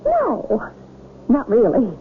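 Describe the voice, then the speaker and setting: An actress playing a small-town mayor's adoring, teasing wife in an old-time radio drama, affectionate, prodding and expressive. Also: female voice, fairly high pitch, slow pace, narrow, tinny audio, exaggerated voice. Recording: band-limited, noisy radio drama recording.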